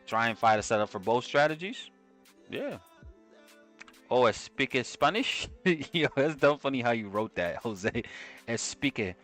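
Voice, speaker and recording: A man's voice: medium pitch, sing-song, a little slow, fairly bright tone, faint music behind. A young man with a New York accent chatting casually with viewers on a trading live stream. He is slangy and loose, often tagging "right?" onto his sentences.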